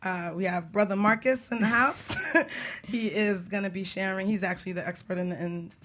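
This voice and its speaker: A woman's voice: medium pitch, fairly even intonation, moderate pace, fairly bright tone, slight background noise. A woman hosting a radio talk show in a chatty, informal, upbeat way.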